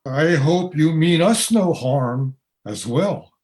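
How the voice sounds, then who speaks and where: A man playing a courteous, puzzled gentleman from 1820. He talks slowly in formal, old-fashioned phrases, with a swooping pitch, as in a radio play. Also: animated. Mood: wary.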